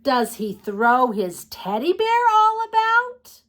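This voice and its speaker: A woman with a fairly high voice reads picture books to small children. Her delivery is unhurried and very animated, with sing-song phrasing and questions put straight to the young listeners. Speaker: children's storytime host.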